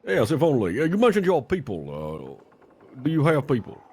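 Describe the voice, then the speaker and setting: A man's voice: mid-range voice, wildly swooping pitch, moderate pace, a little mellow, husky, no echo. A man playing a courteous, inquisitive Old West dealmaker in improvised roleplay, probing politely with small hesitations.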